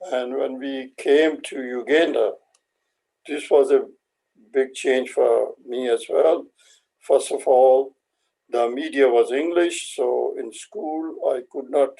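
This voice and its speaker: Older Indian man, native Hindi speaker, interview guest. Unhurried, halting recollection in a dark, gravelly voice. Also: medium pitch, steady tone, rough recording. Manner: halting, measured, with pauses. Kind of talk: reflective personal recollection.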